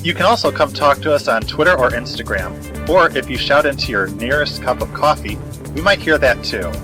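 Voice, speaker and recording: A man's voice: mid-range voice, animated, normal speed. A man announcer reading a scripted podcast outro. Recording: music loud behind voice.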